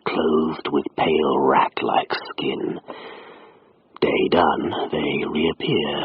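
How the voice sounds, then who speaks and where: A man voicing a brooding railway station in a radio drama, menacing and poetic, with a breathy whispering rasp. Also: very deep voice, dry recording, muffled recording, full character voice. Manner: slow, poetic, ominous.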